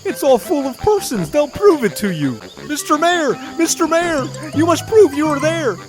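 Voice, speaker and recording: Performer as a lively, earnest storybook elephant. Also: high-pitched, normal speed, poor audio. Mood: alarmed. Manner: sincere, pleading. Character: gentle, earnest, protective male elephant. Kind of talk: children's audio drama. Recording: music behind the voice.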